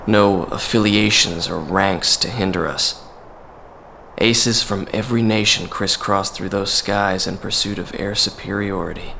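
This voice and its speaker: A man playing a weary, reflective veteran mercenary pilot in a video game, recalling the past in a measured, wistful interview monologue. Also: low-pitched, creaky, pushed and bright, slight background noise, heavily acted, low-quality recording. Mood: somber reflection. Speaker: former mercenary fighter pilot being interviewed.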